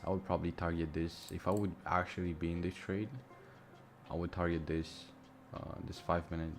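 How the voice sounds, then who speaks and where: A young man with a Romanian accent talks loosely and off the cuff, thinking aloud with the odd curse. His voice sits very low. A faint noise hums behind him. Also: flat delivery, normal speed. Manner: casual, thinking aloud, swears freely. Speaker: live-streaming trader. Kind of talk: casual live-stream commentary. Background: music and mouse clicks.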